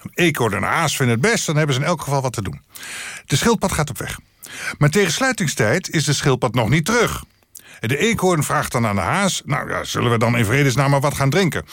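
A corporate board chairman in a radio satire interview. He is earnest, pedantic and businesslike, with a lively, swinging intonation and a mid-range pitch. The sound is dry and close. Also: no echo. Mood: deadpan joking. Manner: formal, businesslike, measured.

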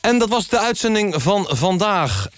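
A man hosting a satirical radio magazine in a mock-serious, deadpan and halting way. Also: high-pitched, faint music behind. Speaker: radio show host. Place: radio studio. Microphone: close studio microphone.

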